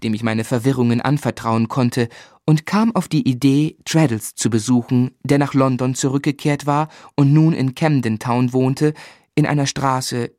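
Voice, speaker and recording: An actor playing a sentimental, wry young gentleman who narrates his own life in a German radio drama. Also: mid-range voice, no room sound, close to an everyday voice. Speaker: first-person narrator.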